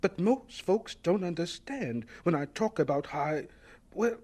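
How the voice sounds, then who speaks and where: Old devout scientist, humble, raspy-husky, voice swoops around, radio play. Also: male voice, high voice, expressive, a little slow, darkish tone. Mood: humble, gracious. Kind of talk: radio drama acting.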